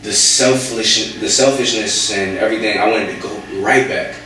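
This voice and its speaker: A man's voice: lowish voice, fairly even intonation, moderate pace, pushed and bright, slight background noise. A young American man talking casually in an interview, hesitant, with many fillers and restarts. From United States. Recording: echoey room, voice from far off.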